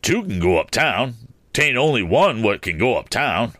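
A man voicing a gruff, surly young journeyman who growls his words in a storybook reading. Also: mid-range voice, very animated, normal speed, a bit bright, no echo, voice pushed up. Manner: growling, sullen.

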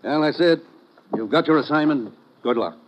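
A man playing a brisk, authoritative government commissioner in an old radio drama. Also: fairly high pitch, animated, natural voice. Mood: stern. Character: stern government commissioner briefing his agent. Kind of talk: 1950s radio drama acting. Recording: dull old radio recording.

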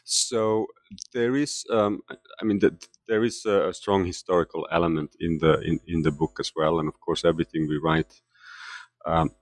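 A man's voice: low voice, steady tone, normal speed, dry recording. A man in his fifties from Finland, a history professor answering interview questions with many fillers and restarts. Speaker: guest author, history professor.

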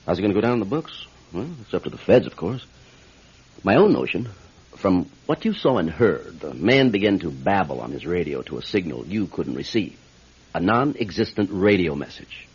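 An actor playing a cynical, matter-of-fact desk official in a radio drama, brusque and offhand, with a husky voice. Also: male voice, low-pitched, big pitch swings, normal speed, heavily acted. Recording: dull old radio recording.

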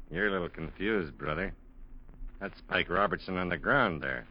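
A man's voice: low-pitched, animated, slow, slightly rough, exaggerated voice. An actor playing a tough, cool male lawman, laconic and slangy, in 1940s radio drama style. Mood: cool, amused. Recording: muffled old radio recording.

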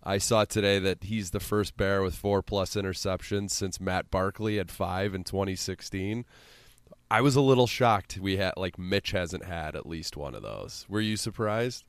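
A man's voice: deep voice, moderate pace, clear, resonant tone, no room sound. A man with a central Illinois accent, hosting a casual sports podcast with exasperated, sweary rambling.

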